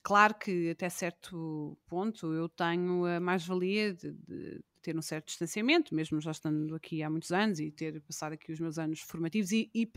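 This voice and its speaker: A woman's voice: fairly low pitch, fairly bright tone, no echo. Wry Lisbon-accented woman, dry sound. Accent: Lisbon Portuguese. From Portugal. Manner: wry, self-mocking, fast rambling with fillers. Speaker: guest reporter. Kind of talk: casual podcast chat.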